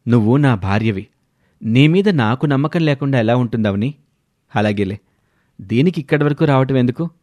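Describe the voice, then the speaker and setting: A man playing a trusting, concerned young husband in an audio story, with a gentle, questioning manner. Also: mid-range voice, expressive, moderate pace, pauses often, no echo, natural voice. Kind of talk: scripted audio story dialogue.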